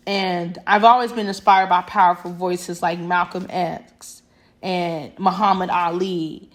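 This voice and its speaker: A woman's voice: fairly low pitch, steady tone, a little slow. A young woman talking straight to camera in a passionate, emphatic monologue that rambles with restarts. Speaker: vlogger.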